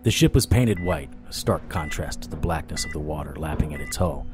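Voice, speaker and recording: Low-voiced, world-weary noir detective. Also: male voice, a little fast, over music. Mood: wary, suspenseful. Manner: wry, deadpan. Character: world-weary, wry male supernatural private detective. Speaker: narrator and lead actor. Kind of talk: noir first-person audio drama narration.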